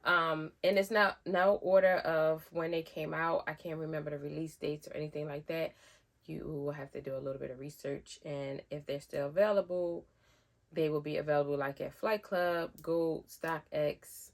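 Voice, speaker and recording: A woman's voice: steady tone, normal speed. A woman vlogger, chatting casually through a sneaker unboxing, a little nasal from a head cold. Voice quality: deep voice. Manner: chatty, rambling with fillers.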